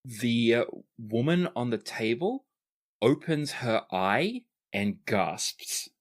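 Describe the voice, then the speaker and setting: A man running a tabletop role-playing game, describing the scene casually with filler pauses. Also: mid-range voice, sing-song. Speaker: game master.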